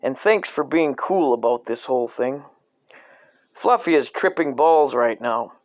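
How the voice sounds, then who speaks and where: A man reading a comedic parody children's book aloud in a deadpan, scripted storyteller style. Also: medium pitch, unhurried.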